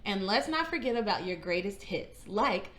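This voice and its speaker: Bright, lively woman podcast host. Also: normal speed. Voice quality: high singing range. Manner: reads emails aloud, breaks into giggles. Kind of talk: casual chat, reading listener mail.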